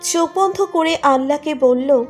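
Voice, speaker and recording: A woman's voice: sits high, measured pace. A woman reading a scripted romantic story as its narrator. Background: music under the narration.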